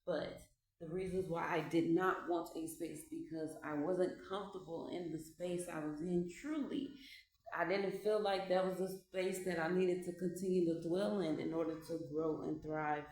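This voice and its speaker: A woman in her late twenties talking through her thoughts in a loose, rambling way at home, repeating phrases and laughing softly between ideas. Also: lowish voice, steady tone, moderate pace. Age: 20s. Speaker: vlogger. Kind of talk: reflective casual monologue. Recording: echoey room with faint ambience.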